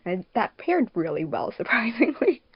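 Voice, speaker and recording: A woman's voice: fairly high pitch, big pitch swings, normal speed, highs cut off. A woman co-hosting a podcast in an informal, giggly, slang-filled way, chatting casually over a remote voice call. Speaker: podcast co-host, fandub production crew member and editor.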